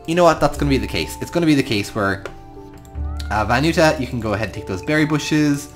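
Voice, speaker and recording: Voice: medium pitch, lively intonation, fast talker. Irish gamer narrating a story as they play, really swoopy mid voice, sweet with the creatures, faint music. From Ireland. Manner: talks affectionately to game creatures. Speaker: gaming video host. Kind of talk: improvised storytelling game commentary.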